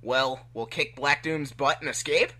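A man's voice: mid-range voice, measured pace, bright, forceful tone. A man voicing a cocky, indignant young hedgehog hero in a fan-fiction reading, casual and complaining. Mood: uneasy.

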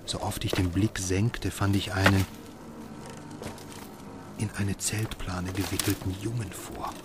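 Low-voiced, haunted father narrating. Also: little pitch movement, a little slow, very noisy. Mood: weary, dreamlike. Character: haunted, exhausted father narrating his own horror tale. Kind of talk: scripted first-person radio play narration.

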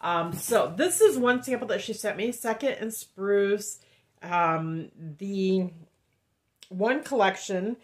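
A woman's voice: mid-range voice, takes it slowly. A woman, chatting casually in a haul vlog and sounding nasal from a head cold, with lots of ums. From Indiana, USA. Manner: chatty and rambling, many ums, reads cards aloud. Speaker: wax melt reviewer. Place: home room, indoors.

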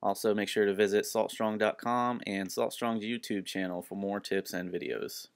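A man's voice: on the low side, fairly even intonation, normal speed. A man presenting a fishing channel, giving plain step-by-step instructions with frequent filler sounds. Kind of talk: instructional how-to narration. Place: outdoors on a home driveway.

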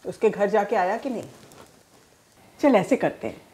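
A woman's voice: medium pitch, lively intonation, a little slow, darkish tone, close to an everyday voice. An actress playing a teasing, motherly middle-aged mother who mixes in English phrases, in a home living room. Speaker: groom's mother. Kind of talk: scripted short-film acting.

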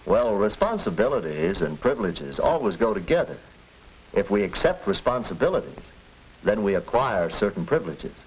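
An actor playing an agreeable, reasonable middle-aged American father in a 1940s educational film, with deliberate, formal phrasing. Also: fairly low pitch, sing-song, rough recording. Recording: hissy 1940s film soundtrack.

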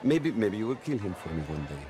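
An actor playing a smug, contemptuous middle-aged Italian crime boss, theatrical and mockingly jovial, in a video game. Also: male voice, fairly low pitch, big pitch swings, fairly quick, a crowd in the background. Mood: gleeful mockery. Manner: theatrical, sneering, chuckles through his talk. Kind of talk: video game cutscene acting.

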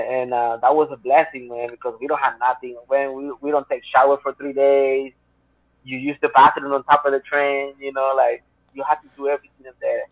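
A man's voice: mid-range voice, gravelly, no echo. Interview guest: Spanish-accented man in his 30s on a dry, tinny video call, earnest and rambling. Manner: earnest, rambling, full of fillers. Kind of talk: personal storytelling in interview. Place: home room. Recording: tinny phone-like call line.

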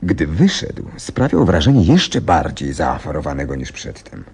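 An actor playing a shrewd, self-assured gentleman detective, precise and theatrical, in a radio play. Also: a bass voice, huge pitch swings, moderate pace, gravelly, slightly rough, heavily acted. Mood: eager storytelling. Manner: precise, inquisitive, theatrical storytelling.

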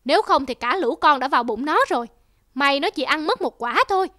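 A woman's voice: sits high, lively intonation, fairly quick, very noisy. A woman voicing a stern rooster husband in a cartoon, scolding and emphatic. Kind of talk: Vietnamese cartoon dubbing. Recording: music behind the voice.

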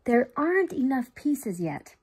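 A woman's voice: high-pitched, big pitch swings, measured pace, a little mellow, slightly rough, full character voice. A woman voicing a firm but caring mother dog in a storybook read-aloud. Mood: disapproving. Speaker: guest reader.

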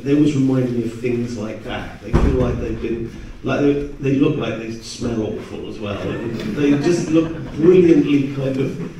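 Mellow man in a very echoey hall. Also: medium pitch. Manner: rambling, hesitant. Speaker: convention panelist. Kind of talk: casual panel discussion. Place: stage in a hall, recorded from audience camera. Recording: echoey hall from far off, noisy.

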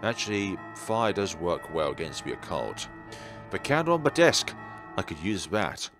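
A man with a very deep voice narrates a horror visual novel as a let's player. His delivery is dramatic and highly animated, jumping into loud outbursts. Faint game music plays behind him. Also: normal speed. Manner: theatrical, with sudden loud outbursts. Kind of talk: dramatic read-aloud and commentary of a horror game.

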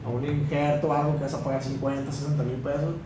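A man's voice: fairly high pitch, level tone, fast talker. A young man with a Sinaloa Mexican accent, a barber chatting on a podcast and explaining at length with many fillers, recorded by a far camera in a small room. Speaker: barber guest. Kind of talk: casual podcast chat. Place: small room with a mirror, indoors. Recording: echoey room, mic far off, background hiss.

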